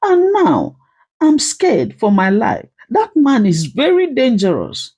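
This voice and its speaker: A frightened young mother in a told story, acted by the narrator. The voice is pitched high and moves around a lot, anxious and pleading. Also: huge pitch swings, takes it slowly, a little mellow, breathy edge, full character voice.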